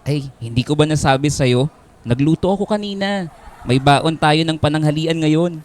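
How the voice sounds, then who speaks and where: Man acting a tender young Filipino boyfriend, higher-pitched. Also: normal speed, natural voice. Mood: caring. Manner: tender, fussing, expressive. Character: caring, gently scolding young nursing-student boyfriend. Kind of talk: Filipino radio drama acting. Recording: music bed under the voice. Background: background music.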